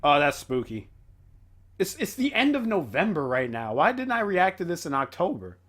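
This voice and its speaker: Animated, high-pitched man reacting. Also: normal speed. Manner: animated, rambling, exclaiming. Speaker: reaction video host. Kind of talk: casual reaction commentary.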